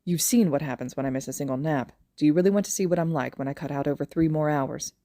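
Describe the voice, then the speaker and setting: A woman's voice: low-pitched, quick pace, warm tone, no echo, studio quality. A woman voicing a grumpy, sleep-deprived male hero teacher in an audio story, blunt and grumbling in a weary way. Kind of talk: audio fan fiction character voice.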